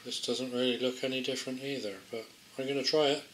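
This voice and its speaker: A man's voice: mid-range voice, fairly even intonation, fast talker, a bit bright. A man giving a casual, unscripted hands-on product demonstration, thinking aloud in a hesitant, understated way. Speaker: amateur product tester presenting a gadget. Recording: roomy echo, faint background noise, clicks.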